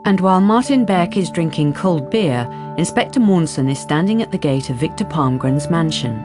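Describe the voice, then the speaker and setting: A woman's voice: on the low side, lively intonation, normal speed, close to an everyday voice. A woman giving scripted narration as the narrator of a radio drama. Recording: music behind the voice.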